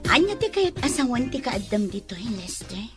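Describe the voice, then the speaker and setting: A woman's voice: on the high side, very animated, moderate pace, music in the background. A woman with an Ilocano accent playing a forgiving, dignified young mother in a drama. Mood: surprised. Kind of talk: Ilocano comedy drama voice acting.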